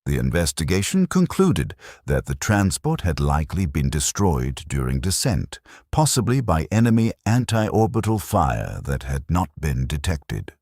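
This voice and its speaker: A man reading a scripted first-person war story in a measured, solemn way, with short deliberate sentences. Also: a bass voice, very animated, darkish tone, dry recording, clean studio sound. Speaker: narrator of a fictional first-person war account. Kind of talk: scripted cinematic story narration.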